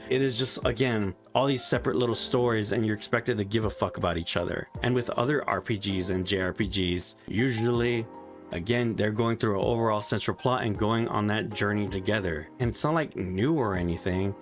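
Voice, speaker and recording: A man giving a frustrated, casual spoken game review, swearing often as he rants. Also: mid-range voice. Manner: frustrated ranting, frequent swearing.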